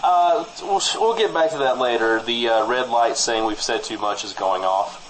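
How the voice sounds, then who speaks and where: Deadpan man co-hosting, faint background noise. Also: mid-range voice, normal speed, poor audio. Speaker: comedy podcast co-host. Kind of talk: mock local news banter. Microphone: studio microphone.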